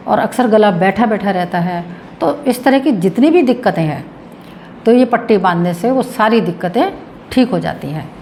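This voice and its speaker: Woman doing a health tips demo, mid pitch, explains slow and repeats stuff, faint room hiss. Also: dark tone. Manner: explains with repetition while demonstrating. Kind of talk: demonstration lesson.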